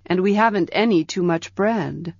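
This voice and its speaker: A woman reading an audiobook, voicing a gentle, patient male wizard with dry, quiet humor. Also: lowish voice, moderate pace, creaky, no echo. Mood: calm, wry. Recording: dry, slightly dull audiobook recording.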